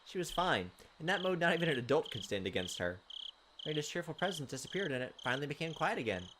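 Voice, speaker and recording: A man's voice: a little high, very animated, quick pace, background noise. A man reading game text aloud as a let's play host, adding informal, playful commentary. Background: game music.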